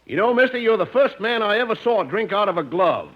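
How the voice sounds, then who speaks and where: A saloon bartender in an old radio comedy, pitched high and puzzled by his customer. His lines bounce widely up and down. The muffled vintage recording carries faint noise. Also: very animated, a little fast. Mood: puzzled, obliging. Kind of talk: 1950s radio comedy acting.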